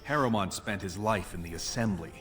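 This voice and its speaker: An actor playing an ambitious dwarf prince in a video game, speaking formally and with resolute authority. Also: male voice, lowish voice, brisk, a bit bright, faint music behind, natural voice. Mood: resolute, urgent. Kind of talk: video game character dialogue.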